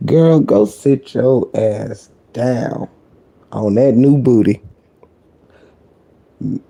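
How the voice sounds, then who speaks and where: Woman, very gravelly, husky, deep croaky voice, sassy blunt gossip streamer, talks low. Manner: sassy and blunt, heavy cursing; talking low to keep quiet. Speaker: live-stream commentator. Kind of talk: casual live-stream gossip commentary.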